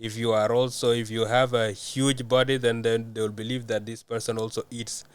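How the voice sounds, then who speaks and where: A young man from South Sudan answering interview questions in a measured way, with many hesitant fillers. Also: medium pitch, flat delivery, clear, resonant tone, dry recording. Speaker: guest.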